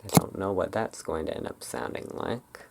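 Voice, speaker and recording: The voice sits very low and is thick with creak. It belongs to an ASMR host who talks informally, rambling and halting through a casual roleplay. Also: very noisy.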